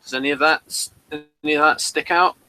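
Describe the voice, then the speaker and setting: A man's voice: fairly high pitch, steady tone, brisk, pushed and bright, low-quality recording. A man in casual discussion, hesitant and searching for his words as he goes. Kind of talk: casual chat about old television.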